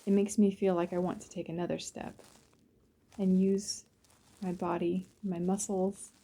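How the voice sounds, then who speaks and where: A woman narrates a guided visualization in a soothing, unhurried way, in short phrases with pauses between them. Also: lowish voice, little pitch movement, dark tone, husky. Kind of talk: guided visualization, first-person present-tense narration.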